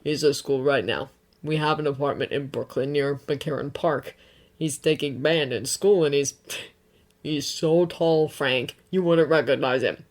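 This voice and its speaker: A woman voicing a remorseful adult man who speaks softly and apologetically in a storybook reading. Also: mid-range voice, a little fast, gravelly, slightly rough, voice pushed down. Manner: soft, subdued, apologetic.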